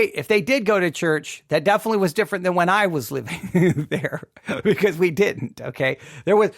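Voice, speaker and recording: A man hosting an informal spoken commentary, emphatic and halting, repeating himself and adding rhetorical asides. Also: on the high side, a bit bright, no room sound, studio quality. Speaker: podcast host commenting on a drama.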